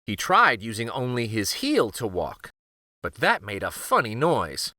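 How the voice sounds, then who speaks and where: A man's voice: medium pitch, wildly swooping pitch, measured pace, bright, forceful tone, no room sound, studio quality. A man hosting a children's read-aloud, narrating a picture book expressively.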